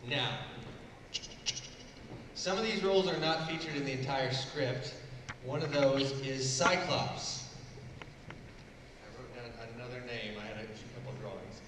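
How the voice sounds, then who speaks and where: A man's voice: mid-range voice, measured pace, long pauses. A man hosting a live stage event, announcing and organizing in a showman-like way as he addresses the audience. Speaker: event host. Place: large indoor convention hall. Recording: echoey hall, distant mic, noisy ambience.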